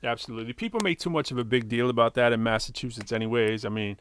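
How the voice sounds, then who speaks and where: A man's voice: medium pitch, fast, smooth, dry recording. A man co-hosting an online music show, talking in a hype, emphatic way. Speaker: co-host. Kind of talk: online radio show chat.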